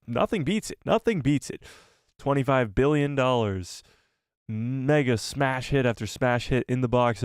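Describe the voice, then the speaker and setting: A man with a cold, losing his voice, hosting a casual live stream; chatty and excitable, he greets viewers and reads out the chat. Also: mid-range voice, dry recording, studio quality.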